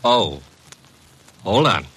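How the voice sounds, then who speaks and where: An actor playing a wisecracking young detective's assistant in an old-time radio drama. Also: male voice, on the low side, sing-song, drawn-out words, a bit bright, breathy edge, heavily acted. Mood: wry. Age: young adult.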